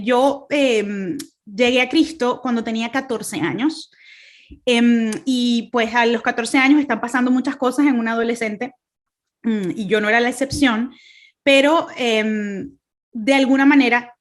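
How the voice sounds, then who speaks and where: A woman in her thirties from Caracas with a Venezuelan accent, giving an earnest faith talk over a video call, with many fillers and restarts. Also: on the high side. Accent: Venezuelan Spanish, Caracas. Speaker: guest speaker.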